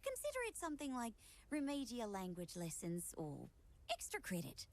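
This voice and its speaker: Refined young organiser in a game, slightly high, expressive, formal and gently strict. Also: female voice, moderate pace. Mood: explanatory.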